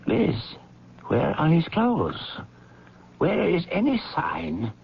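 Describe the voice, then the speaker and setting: Firm, coaxing male doctor, gravelly, very animated pitch, old radio drama with noisy, muffled sound. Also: full character voice. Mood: probing, calm. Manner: measured, coaxing, insistent. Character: probing, firm, patient doctor confronting his patient. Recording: noisy, dull vintage radio recording.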